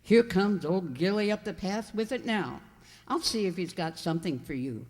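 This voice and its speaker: A woman's voice: medium pitch, expressive, moderate pace, a little mellow, close to an everyday voice. A woman playing a brusque, gossipy and disapproving village landlady in an amateur radio drama. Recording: long hall-like reverb.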